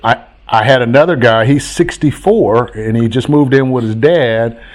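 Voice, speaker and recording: A man at a middle pitch hosts a call-in chat as a coach. He talks in an animated, preachy way and repeats himself for emphasis. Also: moderate pace. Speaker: host, real estate coach.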